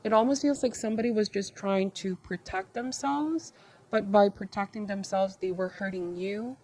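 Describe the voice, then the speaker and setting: A woman hosting a tarot reading, speaking to viewers in short measured phrases with a reflective, advising manner. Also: mid-range voice, darkish tone. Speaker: tarot reader and channel host.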